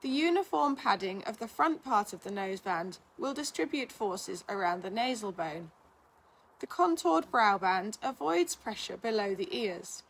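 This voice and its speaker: A woman reading a scripted article aloud in a measured, instructional manner. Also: mid-range voice, lively intonation, creaky, a little hiss or hum, low-quality recording. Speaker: reader of a blog, physiotherapist.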